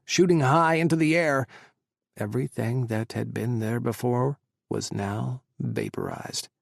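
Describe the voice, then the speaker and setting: Gravelly guy reading an audiobook, dry close sound, steady pace. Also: medium pitch, dry recording. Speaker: audiobook narrator. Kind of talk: scripted audiobook narration.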